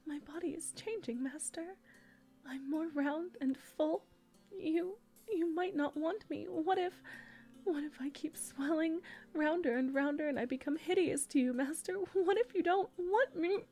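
A woman playing a young pregnant captive woman who is tearful and devoted, speaking in a soft breathy whisper with halting, repeated words. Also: on the high side, fairly even intonation. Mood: anxious. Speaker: audio roleplay performer. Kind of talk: intimate audio roleplay.

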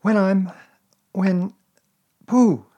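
A man's voice: sits high, steady tone, drawn-out words, warm tone, pitched above the performer's own voice. Earnest little boy, as a man puts him on.

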